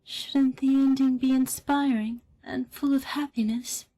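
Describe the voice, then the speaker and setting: A woman voicing a wistful, innocent young girl in a video game, soft and breathy. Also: sits high, fairly even intonation, measured pace, low-quality recording. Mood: wistful, pleading.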